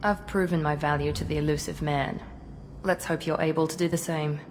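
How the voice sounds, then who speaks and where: A woman's voice: low voice, fairly even intonation, fairly quick. An actress playing a proud, guarded female operative in a video game, clipped, formal and assertive. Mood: cool, guarded. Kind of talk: video game dialogue acting. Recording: noisy game audio with ambience.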